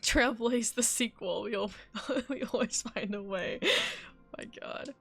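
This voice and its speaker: A woman's voice: on the high side, slow, creaky, fairly bright tone, slightly rough. A woman game streamer reacting casually to a game on a livestream. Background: game music.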